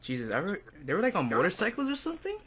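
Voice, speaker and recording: A man's voice: high voice, wildly swooping pitch, racing, a bit bright, husky. A man chatting casually as a guest on a podcast. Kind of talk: casual chat.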